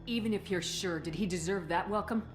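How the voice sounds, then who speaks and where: A woman's voice: mid-range voice, a little fast, soft background music, lightly acted. A woman playing a resolute, principled military commander in a video game, speaking in a firm, no-nonsense, questioning manner. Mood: firm, wary. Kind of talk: video game cutscene acting.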